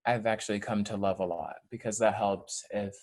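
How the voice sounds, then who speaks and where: Male actor, slightly low register, thoughtful and deliberate, reflective interview delivery. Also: steady tone, darkish tone. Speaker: stage actor.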